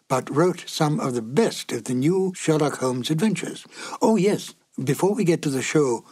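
Husky-voiced man hosting old radio. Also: fairly high pitch, darkish tone. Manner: measured and explanatory. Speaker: host introducing an old radio show. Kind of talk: scripted narration.

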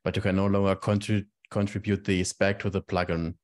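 A man's voice: low voice, level tone, brisk. A man on an online project meeting, explaining technical details in a plain, matter-of-fact way. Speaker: meeting participant.

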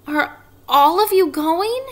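A woman's voice: very high-pitched, animated, slow, voice pushed up, exaggerated voice. A woman voicing an anxious, tender young male pig in a children's storybook, earnest, pleading and exclaiming. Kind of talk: children's storybook character reading.

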